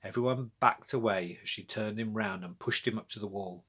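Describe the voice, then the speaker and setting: A man's voice: fairly low pitch, brisk, fairly bright tone, slightly rough. A British man narrating a scripted children's storybook as its author, reading aloud.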